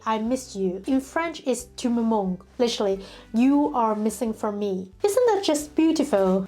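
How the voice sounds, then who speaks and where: A young adult woman whose first language is Chinese, hosting a reflective vlog in a gentle, measured way and slowing down for emphasis. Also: on the high side. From China. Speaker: channel host.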